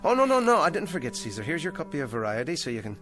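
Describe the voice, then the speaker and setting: A man's voice: on the high side, wildly swooping pitch, very fast, over music. An actor playing a down-on-his-luck male ventriloquist who talks in an eager, fretful, anxiously upbeat way. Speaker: struggling ventriloquist. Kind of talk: 1960s television drama acting.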